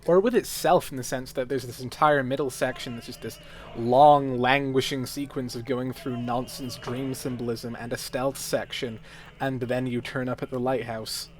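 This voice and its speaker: A man's voice: medium pitch, steady tone, moderate pace. A man on a discussion panel talking casually with a dry, sardonic manner. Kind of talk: casual chat. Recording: music playing behind the voice.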